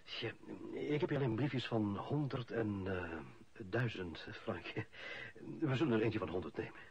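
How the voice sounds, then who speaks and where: A man's voice: lowish voice, unhurried, close to an everyday voice. A man with a Flemish accent playing a fussy, self-important official in a radio play, officious with hesitant little hums. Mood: fishing, awkward. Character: self-important, fussy male official and football club chairman. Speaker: actor. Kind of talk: Dutch-language radio play acting. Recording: dull, faintly noisy old radio recording.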